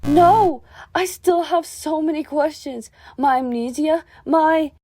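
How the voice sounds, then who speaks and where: Woman as a high-voiced, moody young fox, unhurried. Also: dark tone, faint music behind. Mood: frantic. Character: young female fox creature, moody, lost amnesiac. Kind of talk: animated comic dub acting.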